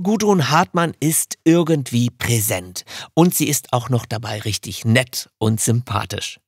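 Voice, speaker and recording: A man's voice: medium pitch, husky, dry recording. A man hosting an interview podcast in a conversational way, recorded close in a studio. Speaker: podcast host.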